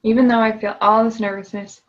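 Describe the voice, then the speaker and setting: A woman's voice: fairly high pitch, little pitch movement, brisk, muffled recording. A woman client repeating tapping phrases after her coach and hesitantly describing her nervousness. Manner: hesitant, searches for words.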